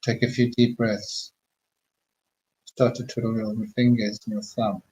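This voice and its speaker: A man slowly talking listeners through a guided meditation with calm, murmured instructions. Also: on the low side, slight background noise, rough recording. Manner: slow, soothing, murmured instructions.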